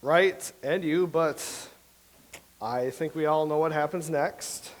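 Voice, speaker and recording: Man, actor doing a wry puzzled playwright, fairly high voice, staged reading. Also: takes it slowly, low-quality recording. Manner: wry, quizzical.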